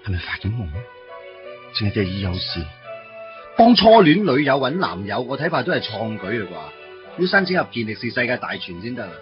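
A man's voice: medium pitch, very animated, brisk, close to an everyday voice. An actor playing a joking young man, eager to please, in a radio drama. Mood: wry. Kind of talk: Cantonese radio drama acting. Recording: dull radio drama recording over music.

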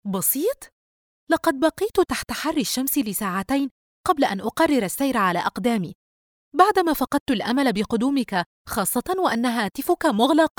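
Casting: female reader as exasperated young working woman, raised pitch, wounded and indignant, audiobook drama. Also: lively intonation, fast, darkish tone, no echo, studio quality, lightly acted. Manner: indignant, incredulous, pleading.

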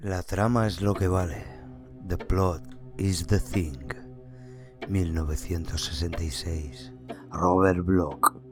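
A man reading a horror story aloud in a slow, theatrical way, then presenting notes on film music. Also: sits low, fairly even intonation. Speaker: narrator and podcast host. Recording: narration over loud music.

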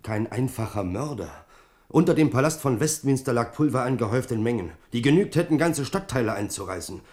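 An alarmed courtier acted by a man delivers his report formally and urgently. His male voice is medium in pitch, in the manner of historical radio drama. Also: expressive, a little fast, a little mellow, natural voice. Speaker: actor. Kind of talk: German radio play acting.

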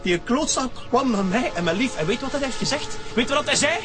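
A man's voice: very high-pitched, animated, fairly quick. A Flemish man playing a bitter, desperate, cornered fugitive in an emotional radio drama. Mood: anguished, angry. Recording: background music under radio drama dialogue.

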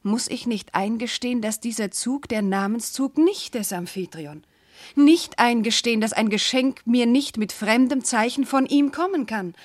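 An actress playing a devoted, anguished noble wife in a classical radio drama, declaiming formal verse with fervour. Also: female voice, fairly high pitch, moderate pace, close to an everyday voice. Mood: distraught. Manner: declamatory, fervent, formal diction. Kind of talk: classical German stage verse in a radio play.

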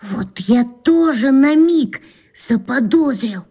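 A woman's voice: high voice, animated, warm tone, slightly rough, exaggerated voice. A woman voicing an imaginative young schoolboy in a children's radio play, speaking eagerly and spinning theories. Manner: eager, speculative, quick.

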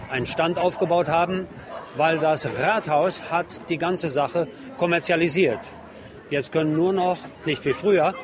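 Male radio host and reporter over music. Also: mid-range voice. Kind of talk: radio reportage switching between German and Spanish.

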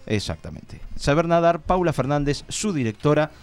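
Higher-voiced Argentine male radio host. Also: animated, moderate pace, clean tone. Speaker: radio host interviewing theatre guests. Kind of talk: informal live radio presenting and interviewing.

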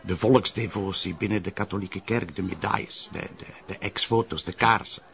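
An actor playing an earnest, talkative police colleague with a Flemish accent, explaining things in a TV crime drama. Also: male voice, low-pitched, moderate pace, vocal fry, a bit bright, muffled recording. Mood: earnest, explanatory. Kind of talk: naturalistic TV crime drama dialogue.

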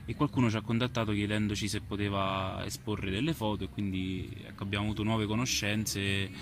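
A man gives spontaneous, explanatory interview answers, pausing and hesitating as he goes. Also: on the low side, fairly even intonation. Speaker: photographer and exhibition curator being interviewed. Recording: clear ambient noise behind the voice.

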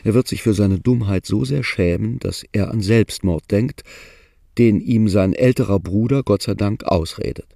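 Male narrator, low mellow voice, dry close sound, matter-of-fact. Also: normal speed, creaky, dry recording. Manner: steady, matter-of-fact storytelling. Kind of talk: documentary narration.

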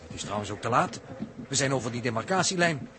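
An actor playing an analytical, level-headed captive astronaut in a 1960s radio play, with measured, educated wording. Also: male voice, mid-range voice, animated, lightly acted. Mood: observant. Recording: noisy old radio recording.

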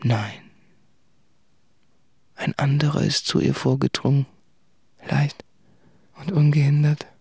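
Radio play role: tormented, introspective man, gravelly and very husky, halting hushed confession, slow, dry with faint music. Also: fairly high pitch, steady tone, no echo, full character voice. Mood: resigned, haunted. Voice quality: husky, breathy, creaky. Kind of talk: literary radio play monologue.

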